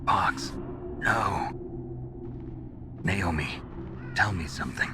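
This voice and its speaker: An actor playing a gruff, weary soldier on a covert mission in a video game, speaking in terse, gravelly questions. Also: male voice, low voice, drawn-out words. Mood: grave, subdued. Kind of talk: video game cutscene acting. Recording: loud music behind.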